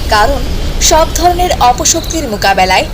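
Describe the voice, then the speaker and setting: A woman's voice: sits high, big pitch swings, normal speed, background noise. A woman giving scripted storybook narration as the narrator of a tale.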